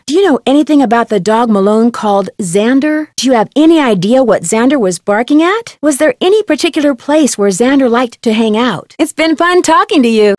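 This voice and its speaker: A woman playing a friendly, curious young amateur sleuth in video game dialogue, speaking clearly, politely and inquisitively in a conversational way. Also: fairly high pitch, darkish tone, dry recording, studio quality, close to an everyday voice. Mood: surprised, upbeat.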